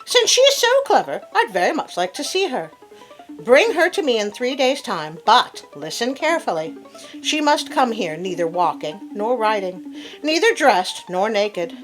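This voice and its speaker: A woman voicing a haughty, demanding nobleman in a storybook fable, with a commanding, deliberate manner. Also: fairly high pitch, sing-song, faint music behind, poor audio. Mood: curious, demanding.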